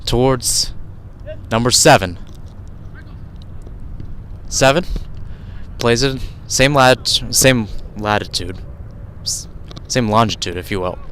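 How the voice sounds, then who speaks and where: Male radio soccer commentator, mid-pitched voice, live outdoor call, digressive and self-correcting. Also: slow, long pauses, a bit bright, slight background noise. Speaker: radio play-by-play announcer. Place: outdoors at youth soccer fields.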